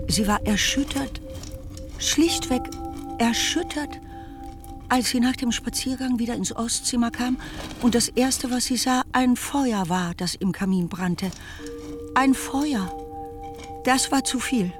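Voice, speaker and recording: Deliberate woman narrator over soft music. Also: fairly high pitch. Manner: deliberate, emphatic phrasing. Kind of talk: dramatic scripted narration in a radio play.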